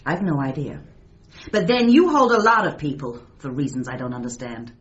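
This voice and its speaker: A woman's voice: rumbling low, animated, normal speed. An actress playing a determined, suspicious young woman in a radio drama, direct, probing and insistent. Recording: dull, faintly noisy radio recording.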